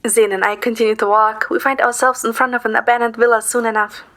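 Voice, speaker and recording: A woman's voice: on the high side, level tone, fast, a bit bright. A woman narrating an audio drama in the first person as a composed, observant young woman. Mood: composed, descriptive.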